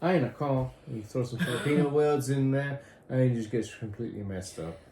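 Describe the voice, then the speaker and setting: British man with a mellow, roomy voice. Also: medium pitch, brisk. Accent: British, drifting toward American. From Britain. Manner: rambling, easygoing, jokey. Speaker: husband in a couple's question game. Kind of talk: casual chat. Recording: echoey room, faint background noise.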